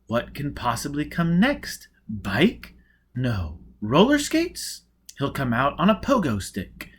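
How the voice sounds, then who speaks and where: A man voicing excitable, incredulous circus spectators exclaiming in an audiobook, with noise behind. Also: mid-range voice, slow, husky, voice pushed up, exaggerated voice. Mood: eager guessing. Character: excitable, astonished circus spectators gawking and bickering. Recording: noticeable background noise.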